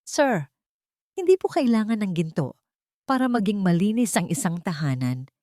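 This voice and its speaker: A woman's voice: medium pitch, big pitch swings, moderate pace, warm tone, no echo, studio quality, natural voice. A woman voicing a humble, tender young maid with a respectful manner in an audiobook drama. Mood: tender, reassuring.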